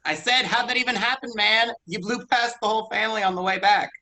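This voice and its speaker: A man's voice: sits high, fast, pushed and bright. A man playing Tim, a touchy, defensive young man in a staged play reading. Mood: puzzled. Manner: short, prickly replies.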